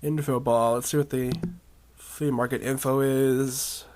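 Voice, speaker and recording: A man with a hoarse, raspy voice chatting casually as he explores a virtual world. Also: medium pitch, flat delivery, measured pace, a little mellow. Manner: rambling, chatty, with coughs and laughs. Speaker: video game vlogger. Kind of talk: casual commentary while exploring a game.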